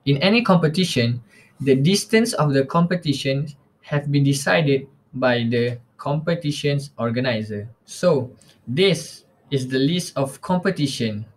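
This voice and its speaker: Young guy from malaysia doing a class slideshow talk, laptop mic, even steady reading. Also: mid-range voice, low-quality recording. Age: young adult. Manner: reads lists steadily, rushes through names. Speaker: student presenter. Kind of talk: class presentation over slides. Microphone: laptop webcam.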